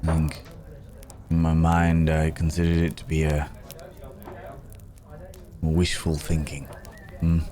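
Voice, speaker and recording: A man playing a weary, philosophical cursed werewolf in an intimate ASMR roleplay, musing in courteous, old-fashioned words with long, hesitant pauses. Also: a bass voice, completely flat delivery, dark tone. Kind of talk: slow intimate ASMR audio roleplay acting. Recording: background crowd murmur.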